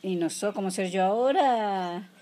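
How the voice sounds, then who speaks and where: A woman's voice: mid-range voice, a little slow, warm tone. A woman in her forties with a rural Mendoza Argentine accent, answering informal interview questions in a plain, somewhat hesitant way. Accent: rural Argentine Spanish from Mendoza. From rural Mendoza province, Argentina. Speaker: interviewee, artisan weaver. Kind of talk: informal oral history interview. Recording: clearly noisy background with clicks.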